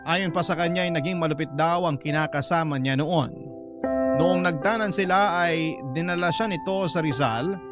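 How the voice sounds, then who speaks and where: A man's voice: fairly high pitch, natural voice. A man narrating a drama story as a scripted letter reading. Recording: loud music under the narration.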